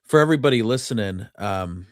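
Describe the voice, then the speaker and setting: A man's voice: fairly low pitch, animated, a little fast, no echo, clean studio sound. A man hosting a show in a casual livestream chat style. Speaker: show host.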